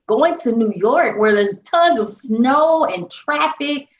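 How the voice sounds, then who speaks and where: A woman's voice: high voice, animated, slow, a little hiss or hum. A woman hosts a casual podcast interview and puts questions to her guest in a friendly, chatty way. The recording sounds roomy and a little dull. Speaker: podcast host.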